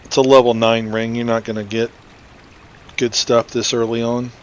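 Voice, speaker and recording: A man talks casually through a video game as he plays, hosting in a middle-pitched voice. There is clear background noise behind his commentary. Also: little pitch movement, moderate pace, highs cut off. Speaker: gaming video host.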